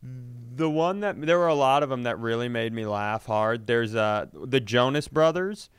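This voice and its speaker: A man chatting casually as a comedy podcast co-host, telling self-mocking, sweary stories in an animated way. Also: medium pitch, measured pace, clear, resonant tone, no echo, clean studio sound.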